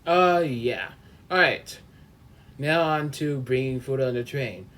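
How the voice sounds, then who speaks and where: A man hosting a casual comedy podcast, talking haltingly with many restarts and frequent laughs. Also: mid-range voice, expressive, a bit bright. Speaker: podcast host.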